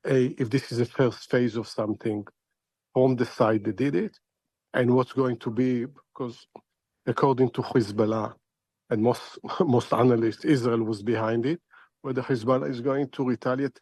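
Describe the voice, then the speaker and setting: A middle-aged man speaks as an expert analyst in a news interview. His delivery is halting and deliberate, circling back over words while he thinks. The voice is medium in pitch and recorded dry. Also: steady tone, a little mellow, breathy edge, no room sound.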